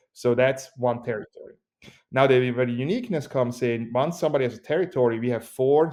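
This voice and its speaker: Swiss-accented man, upbeat business pitch. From Switzerland. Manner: energetic, joking pitchman delivery. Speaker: company CEO guest. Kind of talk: podcast interview.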